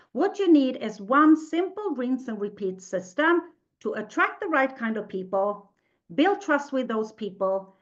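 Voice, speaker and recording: A woman's voice: a little high, muffled recording. Upbeat Swedish-accented woman hosting a webinar. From Sweden. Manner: upbeat, chatty, reads the live chat aloud. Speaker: webinar host. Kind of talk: live webinar presentation.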